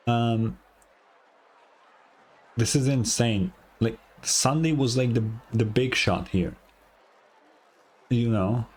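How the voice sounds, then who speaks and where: A man's voice: mid-range voice, a little mellow, husky. A man giving casual, informal live game commentary as a streamer, often incredulous and sweary.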